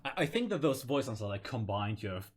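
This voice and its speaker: A man with a fairly low voice hosts a relaxed, informal conversation. He speaks as an interviewer, putting questions and adding his own casual comments. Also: fast talker. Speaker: host, interviewer.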